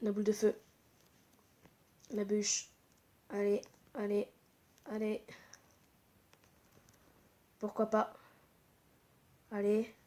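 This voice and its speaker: A woman's voice: medium pitch, monotone, drawn-out words, pauses often, dark tone. A woman with a stuffy, congested voice from a cold, giving live gameplay commentary in short, repeated exclamations. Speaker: gaming channel host.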